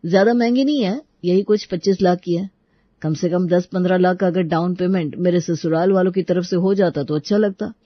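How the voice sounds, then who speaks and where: An entitled young groom acted by a man talks politely but with money on his mind. His voice is high for a man, in a dry, slightly muffled story-drama recording. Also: brisk, no echo, natural voice. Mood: slyly polite.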